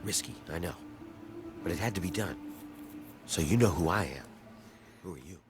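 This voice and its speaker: A man's voice: sits low, lively intonation, normal speed. An actor playing a weary, desperate rebel in a video game, pleading earnestly and dramatically for his enslaved people. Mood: wary, grateful. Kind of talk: video game dialogue acting. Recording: game audio with background music.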